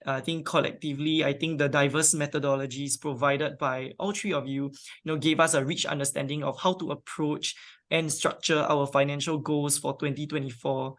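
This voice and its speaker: A man's voice: a little high, steady tone, normal speed, a bit bright. A man hosting a finance webinar, moderating with friendly thanks and frequent "um"s. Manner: friendly, filler-heavy moderating. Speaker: webinar host.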